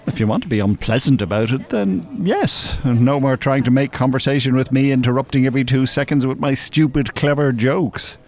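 A man's voice: medium pitch, a little fast, few pauses, darkish tone, lightly acted. An actor playing a sardonic, well-spoken older Dublin man, wordy and mock-formal in a radio drama.

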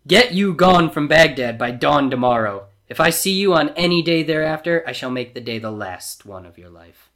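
A man voicing a scheming, menacing Grand Vizier villain in a pompous, theatrical storybook reading, deliberate and cold. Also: medium pitch, big pitch swings, pushed and bright. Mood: haughty threat.

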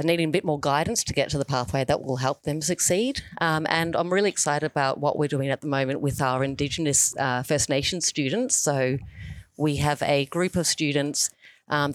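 A woman's voice: low voice, no room sound. A woman answering interview questions as a teacher, in an earnest and thoughtful way. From New Zealand.

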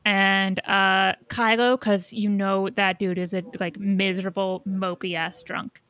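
Woman, mid-pitched, casual co-host. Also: little pitch movement, slow pace, a bit bright, no room sound. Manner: informal, thinking aloud. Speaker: podcast co-host. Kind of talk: casual fan podcast chat.

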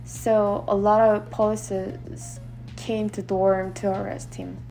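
Woman with a mellow voice, brief careful answers. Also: mid-range voice, level tone, slow, vocal fry, faint music behind, poor audio. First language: not English. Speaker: interviewee, university student. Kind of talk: short interview answers.

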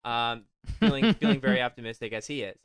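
Animated, quick-talking wry man. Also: medium pitch, crowd noise. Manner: wry, jokey, mock-showman asides to listeners. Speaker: film podcast host. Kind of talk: casual comedic film chat.

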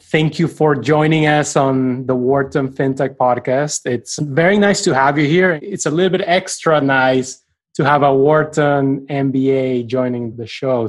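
A man's voice: medium pitch, no echo. Polite podcast host man, formal intro. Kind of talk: scripted intro, then interviewing.

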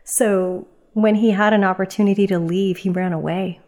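A middle-aged woman from New York, a podcast guest telling family stories in a relaxed, reflective way, full of fillers and restarts. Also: medium pitch, normal speed. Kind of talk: casual conversational storytelling.

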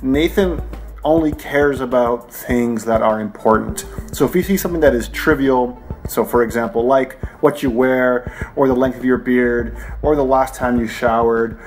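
A man's voice: mid-range voice, normal speed, faint music behind. A man in his forties, an interview guest describing his friend in a playful, teasing way. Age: 40s. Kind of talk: casual interview talk.

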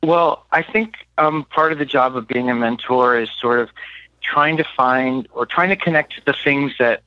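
A man from Miami speaking as an interview guest, thoughtful and halting as he searches for words in reflective talk. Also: medium pitch, no room sound, lo-fi sound. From Miami, United States. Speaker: interview guest, playwright and mentor. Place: radio studio.

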